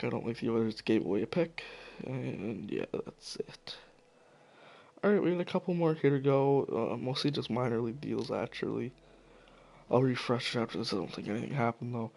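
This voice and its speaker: A man giving casual, profane solo commentary while slowly losing his voice, stumbling over names as he goes. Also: medium pitch, vocal fry, darkish tone. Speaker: hockey video commentator.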